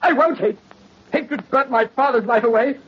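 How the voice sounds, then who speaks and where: An actor playing an anguished, gentle young nobleman in 1930s radio melodrama, with a theatrical, impassioned delivery and a husky voice. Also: very high-pitched, normal speed. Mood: impassioned defiance. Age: young adult. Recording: muffled old radio recording.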